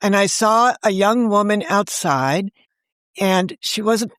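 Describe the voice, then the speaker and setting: A woman's voice: mid-range voice, slow pace, fairly bright tone, no echo, clean studio sound. A woman novelist talking as an interview guest, telling stories in a chatty way and laughing often. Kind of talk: casual interview chat.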